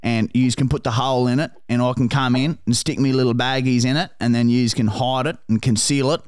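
A man's voice: mid-range voice, fairly even intonation, a little fast, a little mellow, dry recording, studio quality, natural voice. A man with an Australian accent playing a crude, pushy bloke on a prank call, swearing constantly and calling everyone mate and brother. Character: crude pushy bogan bloke, loud and pleading. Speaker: prank caller.

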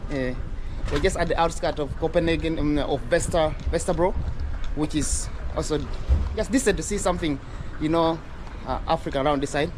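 A man from northern Uganda strolls through an outdoor market, narrating his vlog in a loose, chatty way with many fillers and restarts. His voice sits a little high. Street and traffic noise stays clear behind him. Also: brisk. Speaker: vlogger. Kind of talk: casual walking street vlog.

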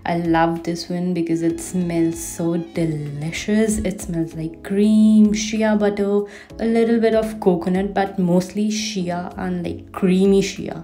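A woman's voice: lowish voice, fairly even intonation, slow, dark tone. A young woman in her twenties chatting enthusiastically through her home shower and skincare routine as a beauty vlogger. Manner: chatty, enthusiastic about products. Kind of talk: casual routine vlog voice-over. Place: bathroom at home. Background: faint music.

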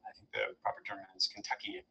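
A man's voice: fast, sharp tone, rough recording. A young man acting in a sketch, answering in a dry, reserved way. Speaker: sketch actor.

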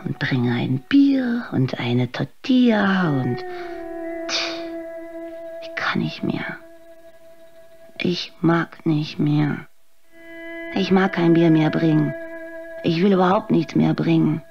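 A woman's voice: sits low, lively intonation, takes it slowly, creaky, pauses often, muffled recording, music in the background, exaggerated voice. An actress playing a bitter, exhausted mother and tavern waitress in a radio play, weary and sarcastic. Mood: exhausted, dreamy. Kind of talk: radio play acting, dramatic monologue.

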